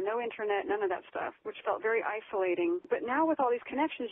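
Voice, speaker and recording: An American woman, a blogger and author, telling her story in a casual interview, enthusiastic and full of "you know"s. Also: medium pitch. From United States. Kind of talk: casual interview over the phone. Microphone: telephone. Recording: tinny phone line, dry.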